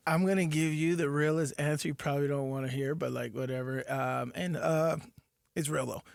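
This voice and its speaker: A man's voice: fairly high pitch, steady tone, normal speed, gravelly, no room sound. A man co-hosting a sports radio show, talking casually and animatedly with lots of fillers and laughs.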